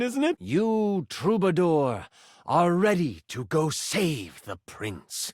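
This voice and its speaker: Casting: enthusiastic, flirty male game master in a video game; lightly high voice, lively swings in pitch, dramatic storyteller. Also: dry recording, studio quality, heavily acted. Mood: upbeat, friendly. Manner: expressive, theatrical storyteller. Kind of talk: dramatic tabletop fantasy narration, video game voice acting.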